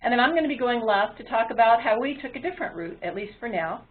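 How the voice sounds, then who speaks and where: A woman's voice: a little high, animated. Woman presenting over a phone-like line. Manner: halting delivery with frequent ums and uhs. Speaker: webinar presenter and moderator. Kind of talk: webinar presentation, explaining to an online audience. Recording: muffled, phone-like webinar audio line.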